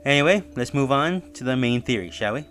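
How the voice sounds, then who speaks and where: A man's voice: mid-range voice, animated, fairly quick, fairly bright tone, soft background music. A man hosting his own channel, casually recapping and reviewing a horror web series, talking loosely with fillers and self-corrections.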